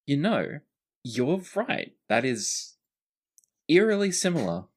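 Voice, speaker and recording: A man playing a wry, gallant friend who teases gently and mocks himself, in improvised tabletop roleplay with dry, deliberate phrasing. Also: on the high side, very animated, pitched above the performer's own voice, exaggerated voice. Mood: playful, wry. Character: wry, gallant male friend, gently teasing, self-mocking.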